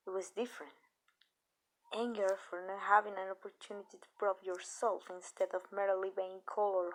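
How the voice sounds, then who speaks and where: A woman playing a calm, possessive vampire owner in an ASMR roleplay, speaking softly and deliberately with pauses. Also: medium pitch, close to an everyday voice, rough recording. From Mexico. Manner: measured, deliberate, soft-spoken with pauses. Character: calm, possessive female vampire owner addressing her human. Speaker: amateur voice actress.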